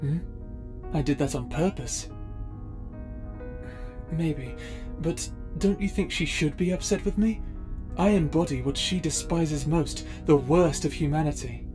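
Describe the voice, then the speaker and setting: Man acting as stern space pirate officer, measured, formal, guilt-ridden, audio drama, prominent music behind. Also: on the high side, lightly acted. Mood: bitter, grieving. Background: background music.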